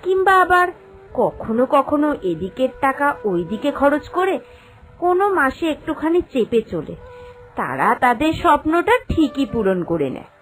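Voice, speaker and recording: A woman's voice: high-pitched, very animated, normal speed, poor audio. A woman reading scripted storytelling narration for a cartoon.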